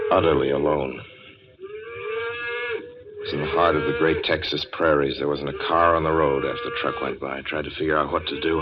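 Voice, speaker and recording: An intense, haunted young man in a 1940s radio thriller. His pitch moves dramatically and his delivery is troubled and urgent. The recording is dull and heavily noisy, like an old broadcast. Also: exaggerated voice. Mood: desolate narration. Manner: intense, halting when pleading. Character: haunted young man driving cross-country, increasingly frantic and desperate. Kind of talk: 1940s radio acting, first-person suspense narration.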